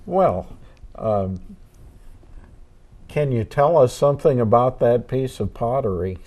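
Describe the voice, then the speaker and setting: An older man co-hosting a community TV interview, asking slow, halting questions in a small studio. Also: medium pitch, animated, a little mellow. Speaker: co-host.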